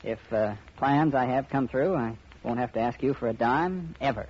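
A man's voice: medium pitch, moderate pace, very noisy, natural voice. An actor playing a breezy, spoiled young man, slangy and teasing, in a 1950s radio drama. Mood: cocky, teasing. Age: young adult. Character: cocky, spoiled young banker son wheedling money. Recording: dull, phone-like old radio recording.

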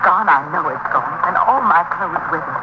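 An actress playing an indignant, well-to-do married tourist who complains haughtily in a 1950s radio drama. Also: female voice, on the low side, expressive, normal speed, close to an everyday voice. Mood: sour, scornful. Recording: noisy muffled 1950s radio recording.

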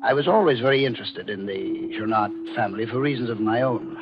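An actor playing a grave, elderly, grandfatherly doctor in a 1940s radio drama, speaking in a measured, deliberate, ominous way. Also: medium pitch, over music, close to an everyday voice. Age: older. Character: grave elderly doctor, grandfatherly, revealing dark family secrets. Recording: muffled, noisy vintage radio broadcast.

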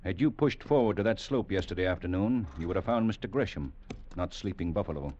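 A stern, exacting cavalry captain, with a low voice and clipped, formal delivery. He lectures like a seasoned officer. The sound is a dull vintage radio recording. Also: animated, brisk, warm tone, slight background noise. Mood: stern, instructing. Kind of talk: 1940s radio drama acting.

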